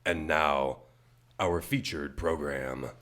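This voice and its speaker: A man's voice: extremely low pitch, steady tone, slow pace, exaggerated voice. A man playing a formal, portentous announcer, narrating in the dramatic style of a 1940s radio serial. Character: grave, theatrical male old-time radio announcer. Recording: loud music behind, live studio.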